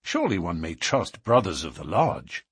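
A male narrator acts out a bold, wary storybook man who speaks firmly and bluntly. His challenges swing widely in pitch, with a husky edge. The sound is dry and close. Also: wildly swooping pitch, normal speed, no echo, full character voice. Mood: questioning. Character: stern, bold, wary newcomer man, loyal lodge member. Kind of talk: audiobook character dialogue.

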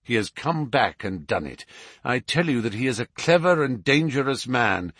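A man plays a self-assured storybook detective. He speaks formally and with authority, his pitch moving animatedly, the sound close and dry. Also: big pitch swings, fairly bright tone, breathy edge, dry recording. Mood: decisive. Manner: brisk, authoritative, formal phrasing. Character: commanding, keen, self-assured male detective.

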